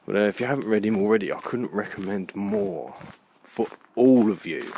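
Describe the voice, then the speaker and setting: Low, gravelly man, noisy outdoor recording. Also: moderate pace, warm tone. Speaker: vlogger. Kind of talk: casual rambling chat to camera. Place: outdoors in a park at night, walking.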